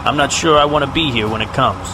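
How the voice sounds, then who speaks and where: Male actor as uneasy, conflicted fighter, earnest, mid-pitched, audio drama with vehicle noise. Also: fast talker, close to an everyday voice. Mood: troubled. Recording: clear outdoor engine noise behind.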